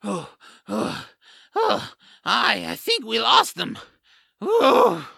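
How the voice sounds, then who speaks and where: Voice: medium pitch, wildly swooping pitch, slow pace, gravelly, pushed and bright, husky, no room sound, clean studio sound, exaggerated voice. A performer voicing a scrappy little troll, an anxious and reluctant hero who grumbles, in an audio drama. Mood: relieved, winded.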